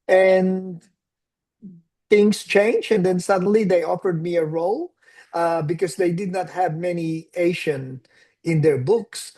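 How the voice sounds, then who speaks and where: Casting: Filipino-accented man, late sixties, slightly higher voice, reflective interview guest. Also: measured pace, dark tone. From Philippines. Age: 60s. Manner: reflective storytelling, mixes in Tagalog phrases. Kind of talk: casual interview conversation.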